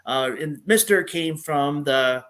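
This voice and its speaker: A man's voice: on the high side, slow pace, fairly bright tone. A man in his thirties with a Southern US country accent, a guest vlogger who talks in a friendly, rambling way and restarts his sentences a lot. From Illinois. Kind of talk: casual interview talk.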